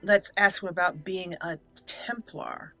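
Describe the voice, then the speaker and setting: Bright-voiced woman hosting a let's play. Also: on the low side, fairly even intonation, a little slow. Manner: giggly, chatty. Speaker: YouTube host doing a roleplay let's play. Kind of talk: casual game commentary. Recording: game music behind the voice. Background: video game music.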